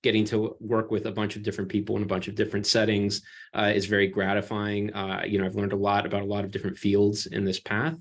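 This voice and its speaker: A man speaking as an academic research panelist, introducing himself on a webinar with frequent pauses and ums. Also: fairly low pitch, monotone. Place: remote webinar call.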